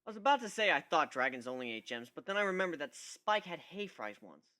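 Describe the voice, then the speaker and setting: A man's voice: fairly high pitch, very animated, fast, sharp tone. A man giving an amateur first-person dramatic reading of a story in a wry, conversational manner. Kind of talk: first-person dramatic narration of fan fiction.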